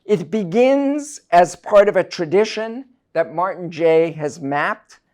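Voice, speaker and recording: A middle-aged man from South Africa, a university professor delivering a prepared lecture in a measured, deliberate, scholarly way. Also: high voice, lively intonation, darkish tone. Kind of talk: prepared academic lecture, read aloud.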